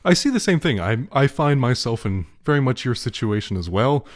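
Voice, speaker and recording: A man's voice: medium pitch, very animated, fairly quick. Man, radio host, studio interview, welcoming, long questions. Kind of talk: hosting an interview.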